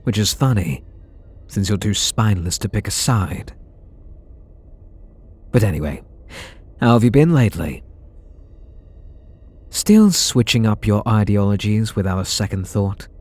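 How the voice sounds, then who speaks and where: A man's voice: on the low side, animated, measured pace, pauses often, darkish tone, no echo. A man voicing a bitter, sarcastic supervillain in an ASMR roleplay audio drama, talking in a sardonic, mocking way. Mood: sneering. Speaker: voice actor.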